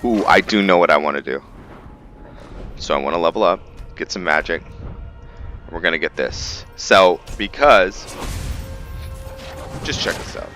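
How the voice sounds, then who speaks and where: A man with a low voice gives casual gameplay commentary at an unhurried, slow pace. He thinks out loud, halting and restarting as he goes. Music plays clearly behind his voice. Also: lively intonation. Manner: halting, thinking aloud, trailing off mid-phrase. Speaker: gaming host narrating a playthrough. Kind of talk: casual let's-play commentary. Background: game music behind the voice.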